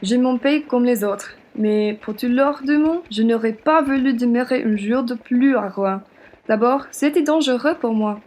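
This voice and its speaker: A young woman acts a spirited French patriot woman in a radio play. Her voice sits on the high side, and she speaks plainly, with a generous but fiery temper. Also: unhurried, a little mellow, natural voice. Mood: indignant, proud. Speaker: student actor.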